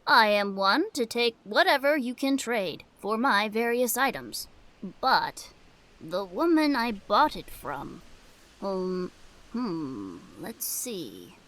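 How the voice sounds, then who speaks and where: Woman playing a slow, musing older halfling merchant. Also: mid-range voice, big pitch swings, fairly bright tone. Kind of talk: fantasy audio drama acting.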